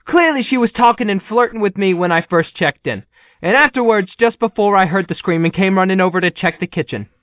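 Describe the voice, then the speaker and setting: Man playing a high-voiced, indignant businessman. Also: brisk, no room sound, dull audio, natural voice. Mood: argumentative. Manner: indignant, argumentative. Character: defensive, scrappy male businessman nicknamed for fierce dealing. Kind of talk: audio drama acting.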